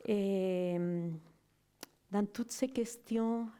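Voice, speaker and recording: A woman giving a personal testimony in halting, non-native French, often searching for words and checking in with "non?". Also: medium pitch, fairly even intonation, warm tone, clean tone. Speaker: guest speaker giving a testimony. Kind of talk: slow reflective personal testimony.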